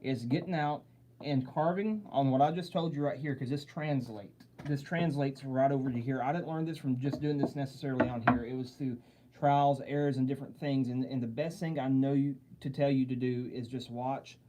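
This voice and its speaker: A man's voice: mid-range voice, steady tone, normal speed, mellow. A young man with a Southern US accent hosting a live wood carving Q&A, talking in an earnest, rambling way and repeating himself as he addresses viewers. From southern United States. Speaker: wood carving channel host. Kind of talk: live-stream Q&A chat. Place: home workshop with lights.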